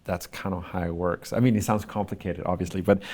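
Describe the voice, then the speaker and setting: A male artist giving thoughtful interview answers, pausing often to search for the right words. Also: sits low, fairly even intonation, a little mellow.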